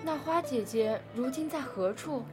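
A woman's voice: high-pitched, a little slow, reverberant, music in the background. A woman playing a guarded, brave orphaned young girl in an amateur radio drama, speaking in terse replies and questions. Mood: questioning.

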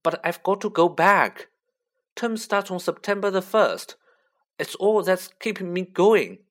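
A woman's voice: lowish voice, lively intonation, measured pace, pitched above the performer's own voice. A woman voicing a young boy wizard in a fantasy audiobook, earnest and baffled, with a hesitant, questioning manner. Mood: dismayed protest. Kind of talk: children's fantasy audiobook character voice.

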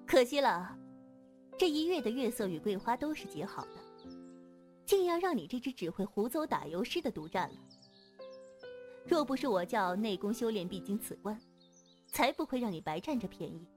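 A woman's voice: on the high side, very animated, normal speed, pauses often, soft background music. An actress playing a proud, teasing female martial sect leader in a wuxia audio drama, haughty and blunt, with a hidden tenderness. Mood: proud, fond.

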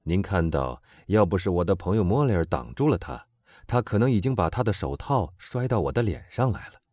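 A man playing a cold, vengeful middle-aged nobleman who speaks with calm, bitter irony. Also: sits low, a little fast, no room sound, clean studio sound.

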